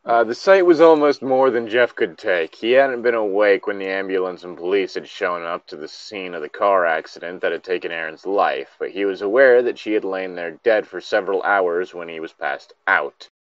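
A man's voice: low voice, moderate pace, hardly any pauses, no echo. A man casually reading a story aloud, with irreverent, sweary joking asides. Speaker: co-host reading stories aloud. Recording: dry, dull lo-fi microphone.

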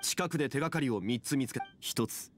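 A man playing a calm, scholarly gentleman consultant in an anime-style video game, speaking in a measured, formal, methodical way. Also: mid-range voice, close to an everyday voice. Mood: calm, analytical. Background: background music.

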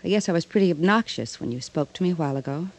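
Coy, flirtatious socialite. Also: female voice, on the low side, lively intonation, very fast. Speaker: actress in a radio detective drama. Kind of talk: 1950s radio drama acting. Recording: old radio broadcast recording.

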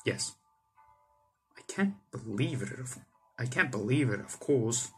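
A young man reads a visual novel aloud as a gaming YouTuber, in a mid-pitched voice. He narrates the text and drops in his own asides, with game music playing behind him. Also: animated, measured pace, fairly bright tone. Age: young adult.

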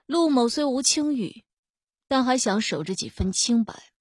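A woman voicing a cool, haughty young male imperial guard officer, calm and unhurried with dry sarcasm. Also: a little high, no echo. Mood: aloof, aggrieved.

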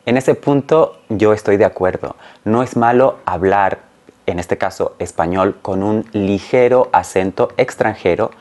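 A man in his forties, a native Spanish speaker, explaining carefully and clearly as a language teacher talking to camera. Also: lowish voice, a little mellow. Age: 40s. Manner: clear, careful, didactic phrasing. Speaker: Spanish teacher and channel host. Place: small room at home, indoors.

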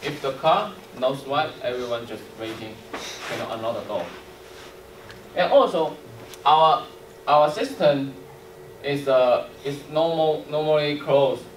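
A young man, a non-native English speaker, explaining technical steps in a workshop with halting, fragmented phrasing. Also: mid-range voice. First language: not English. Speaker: trainer. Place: indoor workshop room with small audience. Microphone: distant camera microphone. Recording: roomy, far from mic, noisy room.

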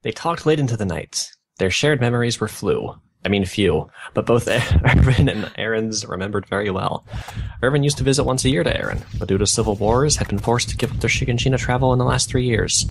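A man's voice: medium pitch, brisk, gravelly, noisy background. A young man reading a story aloud, stumbling over garbled wording and breaking off with bemused asides. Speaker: fan fiction reader on a gaming video.

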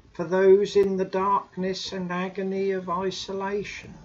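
A man in his fifties leading formal prayers in a solemn, measured manner with deliberate pauses. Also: high-pitched. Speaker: intercessor leading prayers in a church service. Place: domestic room, indoors. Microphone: webcam or laptop microphone. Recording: muffled webcam audio with background noise.

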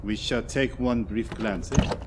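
An actor playing a shrewd middle-aged Belgian detective with a French-speaking Belgian accent, formal and precise, in a 1940s radio drama. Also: male voice, fairly low pitch, unhurried, background noise, lightly acted. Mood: brisk, composed. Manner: formal, theatrical, precise phrasing. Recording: vintage 1940s radio broadcast, music behind.

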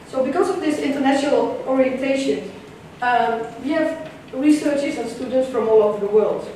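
A woman with a Dutch accent giving a conference presentation in a large lecture hall, measured and hesitant, with frequent ums and restarts. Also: sits high, dark tone, a little hiss or hum. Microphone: room camera far from the stage. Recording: echoey lecture hall from far off.

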